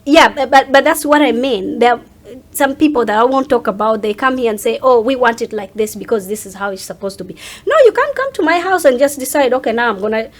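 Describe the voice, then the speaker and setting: A woman with a fairly high voice talks casually as a guest in an interview. She is earnest and illustrates her points by acting out imagined remarks. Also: expressive, fast talker. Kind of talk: casual conversation.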